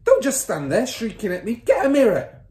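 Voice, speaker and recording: A woman voicing a vain, blustering father from a children's story, yelling in an indignant, flustered way. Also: fairly high pitch, wildly swooping pitch, normal speed, echoey room, voice pushed up. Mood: furious. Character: blustering, loud, panicky, vain father, a car salesman.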